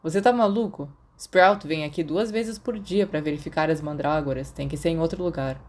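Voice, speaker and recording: A Brazilian woman voicing a weary, gruff, sardonic teenage schoolboy in an audiobook story. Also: low voice, moderate pace, darkish tone. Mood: irritated. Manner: blunt, reluctant.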